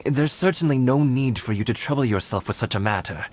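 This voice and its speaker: A man's voice: medium pitch, expressive, a little fast, slight background noise, lightly acted. A man playing a dutiful male guard in a video game who speaks with deferential concern.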